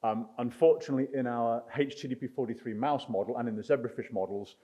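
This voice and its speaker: A man's voice: mid-range voice, quick pace, warm tone. A man answering audience questions after a research talk, careful and hedging with many ums. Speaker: research speaker. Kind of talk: conference Q&A answer. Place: lecture hall.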